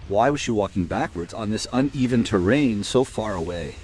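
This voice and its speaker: An actor playing a matter-of-fact police sergeant in a crime radio play, deliberate and analytical. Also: male voice, lowish voice, expressive, a little mellow, a little hiss or hum, close to an everyday voice. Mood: analytical, sober.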